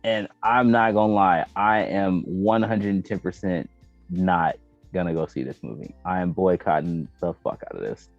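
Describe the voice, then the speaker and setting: A man's voice: low-pitched, moderate pace. An American man hosting a casual chat about entertainment, talking in an emphatic, slangy, profane and opinionated way. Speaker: podcast host. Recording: dull lo-fi roomy audio, faint music behind.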